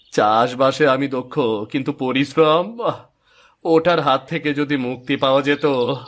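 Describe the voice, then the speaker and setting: Slow-drawling lazy grumbling farmer man, acted out by a man. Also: medium pitch, a bit bright, lightly acted. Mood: weary, complaining. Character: lazy grumbling male farmer who trusts in luck. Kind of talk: animated moral-story cartoon voice acting.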